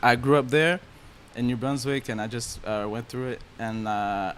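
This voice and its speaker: A young man from Haiti, a guest musician talking about his life in relaxed casual chat with slang. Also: mid-range voice, normal speed, smooth.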